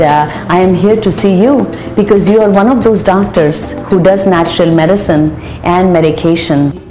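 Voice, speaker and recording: Female doctor interviewee, clinical and matter-of-fact, lowish voice, faint music. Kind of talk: interview recollection.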